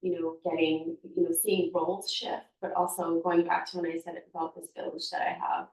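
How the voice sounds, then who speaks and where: A woman in her thirties talks with a low, gravelly voice. She speaks softly and haltingly and often searches for her words. She sits off-mic in a reverberant room. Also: level tone, recorded from a distance. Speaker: podcast guest. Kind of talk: reflective personal conversation.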